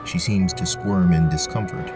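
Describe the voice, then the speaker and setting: A man's voice: sits low, fairly even intonation, normal speed, over loud music. A man narrating a scripted tabletop fantasy story as its storyteller. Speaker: narrator, game master.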